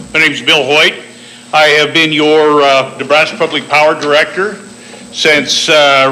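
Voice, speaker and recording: A male candidate at a public forum in a cafe, listing his record in a measured, point-by-point way. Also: a little high, bright, forceful tone, rough recording. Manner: measured, lists achievements point by point. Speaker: political candidate. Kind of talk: campaign speech at a public forum. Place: bakery cafe forum room.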